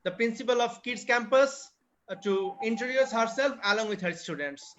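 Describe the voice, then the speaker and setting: Male host, high bright voice, formal and courteous; dull call audio. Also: expressive, normal speed. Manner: formal, polite. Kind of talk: moderating a video call. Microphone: video call. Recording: muffled video call audio.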